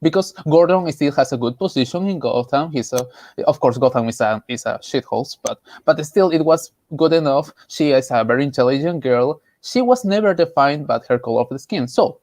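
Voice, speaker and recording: A man with a Peruvian Spanish accent talks casually on a livestream panel at a middle pitch. He is emphatic and halting, often restarting and repeating phrases, with a dry, close sound free of room echo. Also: lively intonation, a little mellow. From Peru. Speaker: panelist, artist.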